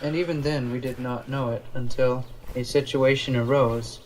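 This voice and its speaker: A man's voice: mid-range voice, fairly even intonation, normal speed, a little hiss or hum, natural voice, poor audio. A man playing an earnest, formal leader of a devout band in an amateur audio drama. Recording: music bed under dialogue.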